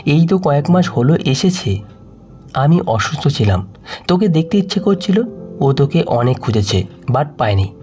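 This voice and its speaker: A man's voice: fairly high pitch, big pitch swings, moderate pace, dark tone, soft background music. A man voicing a kind, motherly middle-aged aunt who speaks in a tender, coaxing way in an audio story.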